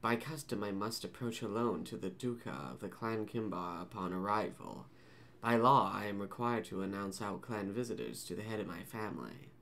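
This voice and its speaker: Woman reading a deep-voiced, formal young alien warrior; clipped and slow. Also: expressive, fairly bright tone, exaggerated voice. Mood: solemn.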